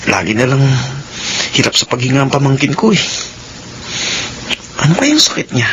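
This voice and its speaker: A man's voice: medium pitch, wildly swooping pitch, moderate pace, a bit bright, a little hiss or hum. Chatty, dutiful young Filipino man. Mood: musing, concerned. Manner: chatty, musing aloud to himself. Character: cheerful, dutiful young man of the household, fond uncle. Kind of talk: Filipino radio drama monologue.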